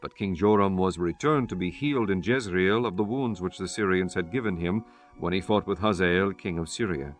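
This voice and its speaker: Low-voiced man narrating scripture. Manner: measured, solemn. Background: music.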